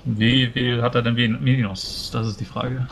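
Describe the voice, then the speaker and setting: A man's voice: mid-range voice, little pitch movement, unhurried, gravelly, a little hiss or hum. A man talking casually as a player in a tabletop role-playing game.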